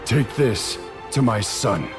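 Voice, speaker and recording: A man's voice: fairly low pitch, very animated, takes it slowly, loud music behind the voice. A man playing a resolute, heroic warrior king in a game cinematic, terse and commanding. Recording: loud cinematic score underneath.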